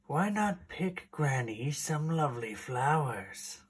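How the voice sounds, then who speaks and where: A woman voicing a big, hungry, sly male wolf from a storybook, with a deep, scratchy, growling voice and a slow, menacing delivery. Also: big pitch swings, husky, heavily acted.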